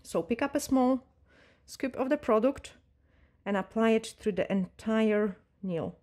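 Woman nail tech, mellow tone, calm step-by-step tutorial delivery with fillers. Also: fairly high pitch, measured pace. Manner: calm, instructive, with frequent fillers and non-native grammar. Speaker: nail technician teaching a tutorial. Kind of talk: step-by-step tutorial narration while working. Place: nail salon desk.

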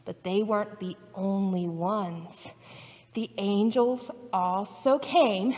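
A woman pastor telling a Bible story to preschool children in an animated, expressive way, recorded in a church sanctuary by a distant camera. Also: mid-range voice, long reverb tail. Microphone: far camera.

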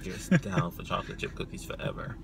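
A man's voice: a little fast, slight background noise. A man in his thirties from Nigeria, a park guide, chatting easily in a parked car about trying ham radio. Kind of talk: casual chat. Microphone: camera on the dashboard.

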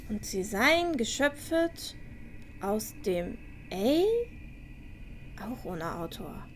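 Woman acting a slow-speaking, curious young girl adventurer. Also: a little high, slight background noise. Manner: thinking aloud, with gasps and sounds of disgust. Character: curious, squeamish young girl apprentice adventurer, muttering to herself. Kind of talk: fan-made fantasy radio play acting.

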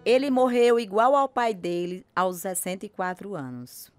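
A woman with a Brazilian accent playing a gentle, devout grandmother of nearly a hundred in a radio drama. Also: mid-range voice, moderate pace, soft background music, close to an everyday voice.

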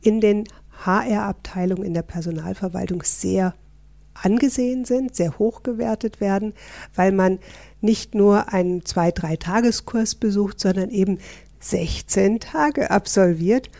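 A woman's voice: mid-range voice, a little slow, creaky, dark tone, dry recording. A middle-aged woman from northern Germany, a professor, explaining things in a friendly interview with some fillers. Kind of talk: interview answers.

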